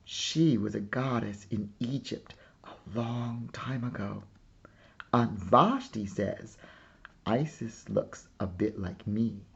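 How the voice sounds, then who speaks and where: Man voicing a proud little girl, slow and earnest. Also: fairly low pitch, creaky, slightly rough, highs cut off, full character voice. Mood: fond, explaining. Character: proud, eager little girl playing museum guide.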